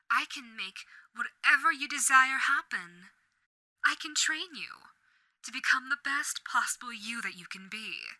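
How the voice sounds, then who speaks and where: A woman's voice: mid-range voice, sharp tone, dry recording, heavily acted. A woman voicing a charming, manipulative female overlord demon in an audio roleplay, speaking in a theatrical, coaxing way with whispered asides. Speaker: voice actor.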